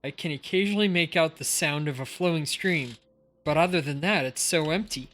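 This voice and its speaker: A woman's voice: low-pitched, fairly quick, fairly bright tone. A woman reading lines from a story game aloud. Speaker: game streamer.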